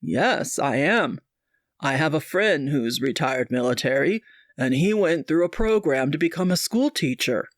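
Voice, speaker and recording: A woman voicing a hopeful middle-aged father who speaks in a lowered, steady voice. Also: measured pace, no echo, studio quality.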